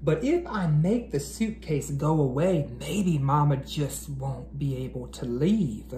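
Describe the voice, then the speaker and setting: A man's voice: fairly high pitch, expressive, takes it slowly, warm tone. An American man voicing an eager, wishful little bunny child in a storybook read-aloud. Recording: roomy echo, background noise.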